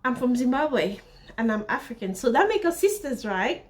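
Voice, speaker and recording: A woman's voice: a little high, very animated, normal speed. A woman voicing an earnest, insistent teenage schoolgirl who pleads her case in a raised voice.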